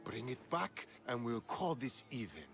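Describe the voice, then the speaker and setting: An actor playing a smug, sardonic ancient Greek commander in a video game, mocking and commanding. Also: male voice, medium pitch, big pitch swings, measured pace, fairly bright tone, soft background music. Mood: smug, businesslike. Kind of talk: video game dialogue acting.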